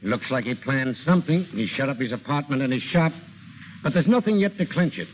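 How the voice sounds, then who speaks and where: A man's voice: medium pitch, animated, a little fast, close to an everyday voice. Tinny old-radio police inspector played by a man. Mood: businesslike. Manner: emphatic, slangy, matter-of-fact. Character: blunt, stubborn police inspector on a murder case. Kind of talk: 1940s radio drama acting. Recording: tinny, noisy vintage radio broadcast recording.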